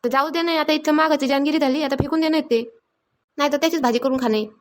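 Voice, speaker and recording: A woman's voice: high voice, fairly even intonation, close to an everyday voice. A woman voicing a busy, scolding village mother in a cartoon, nagging. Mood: angry, scolding. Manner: nagging, rapid repeated orders. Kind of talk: Marathi comedy cartoon dialogue.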